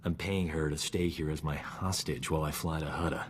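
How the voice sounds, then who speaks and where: Cocky doctor in a cavernous space. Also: male voice, extremely low pitch, fairly even intonation, brisk. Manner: cocky, self-admiring, smooth-talking. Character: vain, flirtatious male doctor, boastful charming rogue. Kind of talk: video game cutscene acting.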